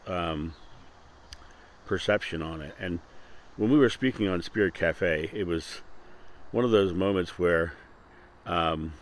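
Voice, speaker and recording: A man's voice: deep voice, a little hiss or hum. A man hosting his own channel, talking casually and earnestly about a spiritual idea, often restarting and repeating words. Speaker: channel host. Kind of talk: casual spiritual talk to camera. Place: Akron, Ohio.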